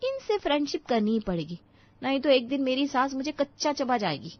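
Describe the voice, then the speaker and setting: A woman voicing an eager, anxious young daughter-in-law in a family story, with an excitable, dramatic, pleading manner. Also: sits high, brisk, dry recording, dull audio, voice pushed up. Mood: scheming, exasperated.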